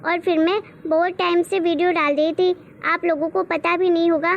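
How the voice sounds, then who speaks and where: A woman's voice: extremely high pitch, level tone, moderate pace, muffled recording, slight background noise. A woman voicing a determined, devoted young daughter in a cartoon moral story, speaking earnestly and explaining herself.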